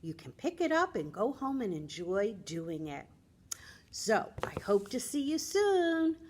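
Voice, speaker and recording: An American woman presenting as a children's librarian, speaking to young children in an expressive, teacherly way with simple phrasing. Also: a little high, measured pace, slight background noise. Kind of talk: storytelling talk for young children.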